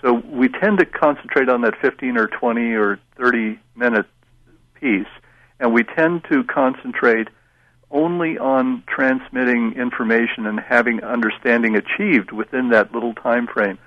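A man speaking as an expert guest on a radio talk show, explaining in a measured way with some fillers. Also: mid-range voice, dry recording, dull audio.

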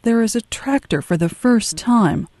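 Soft-spoken interviewer, dry close sound. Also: mid-range voice, animated, a little slow, no echo. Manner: careful, polite questioning. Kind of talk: interview questions.

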